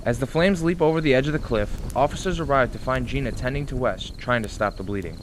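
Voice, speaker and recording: A man's voice: mid-range voice, fast, a little hiss or hum, low-quality recording. A man reading scripted dramatic narration as the narrator of a radio play. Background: music.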